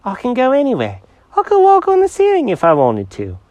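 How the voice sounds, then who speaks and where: A storyteller voicing an excitable, scatterbrained male pet cat who swoops through exclamations and questions. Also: mid-range voice, fairly quick, dry recording, muffled recording, voice pushed up. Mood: insistent.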